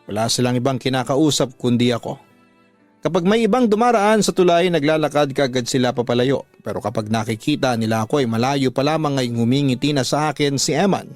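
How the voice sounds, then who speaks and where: A man delivering dramatic first-person scripted narration as a radio drama storyteller, in a measured way. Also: mid-range voice, animated, darkish tone, no echo. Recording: music bed under the voice. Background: music.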